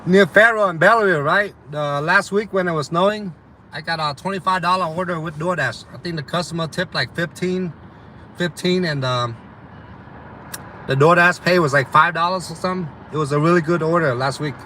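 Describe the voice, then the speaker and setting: A man's voice: a little high, normal speed, bright, forceful tone, slight background noise. A young man with a slight Vietnamese accent, chatting casually with live viewers about delivery driving in a loose, rambling way full of ums and uhs. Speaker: delivery driver streaming to viewers. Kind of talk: casual live chat reading viewer comments.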